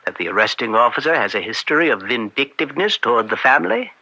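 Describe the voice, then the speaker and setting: An actor playing a middle-aged political fixer in an old-time radio drama, persuasive and polished, with a slick, insinuating way of talking. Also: male voice, mid-range voice, big pitch swings, fast, bright, forceful tone, dry recording, full character voice. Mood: defensive, smooth. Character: smooth-talking political fixer, persuasive and slippery family friend.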